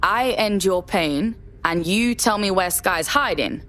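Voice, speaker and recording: A woman's voice: mid-range voice, lively intonation, takes it slowly, fairly bright tone. An actress with a British accent playing a blunt, streetwise, slangy woman operative in a video game. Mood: direct, wary. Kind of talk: cinematic video game acting.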